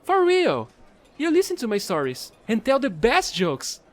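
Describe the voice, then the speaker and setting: A man playing a chatty, excitable fallen alien angel who trades in space, gushing and rambling in an audio roleplay. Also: very high-pitched, unhurried, heavily acted. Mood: eager, friendly. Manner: bubbly, gushing, rambling, apologetic.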